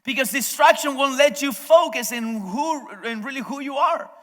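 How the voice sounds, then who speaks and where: A man's voice: very high voice, normal speed, sharp tone. A male pastor whose first language is Spanish, preaching a sermon in a conversational way, speaking directly to the congregation and often restarting his sentences. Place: church service. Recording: echoey hall.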